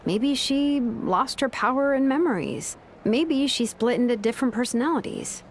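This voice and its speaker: A woman playing a cautious, authoritative young strategist in a video game, explaining things seriously and deliberately. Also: high-pitched, animated, a little hiss or hum. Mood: grave, concerned. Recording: music under the dialogue.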